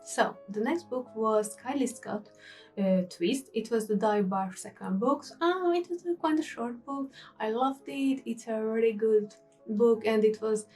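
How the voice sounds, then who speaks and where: A woman's voice: on the high side, a little slow, darkish tone, recorded from a distance, faint music behind. A young woman with a Hungarian accent chatting casually about the books she read, restarting sentences as she goes. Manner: chatty, restarts sentences, non-native grammar. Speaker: book vlogger. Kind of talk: casual reading wrap-up chat.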